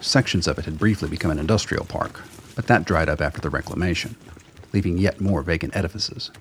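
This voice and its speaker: A man's voice: sits low, a little hiss or hum. A man reading scripted narration for a radio drama.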